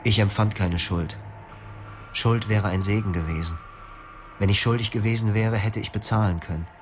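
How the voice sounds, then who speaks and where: An actor voicing a young man who recalls his starving youth in a flat, confessional radio-drama monologue. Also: lowish voice, normal speed, over music, close to an everyday voice. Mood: bleak.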